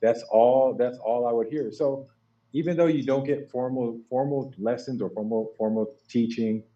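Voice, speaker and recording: A man from the United States hosting a casual chat show, prompting his guests with lively questions. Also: mid-range voice, moderate pace. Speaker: podcast host. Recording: dull, muffled sound.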